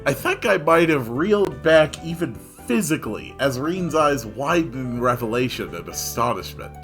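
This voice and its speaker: A man's voice: medium pitch, sing-song, moderate pace, a bit bright. A man reading a visual novel aloud as first-person narrator, dramatic and emphatic. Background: music.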